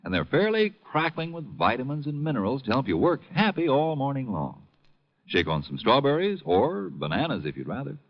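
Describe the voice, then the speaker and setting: A man's voice: medium pitch, wildly swooping pitch, moderate pace. A man announcing a 1950s radio cereal advertisement with folksy, upbeat sales patter. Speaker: commercial announcer. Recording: muffled vintage 1950 radio recording.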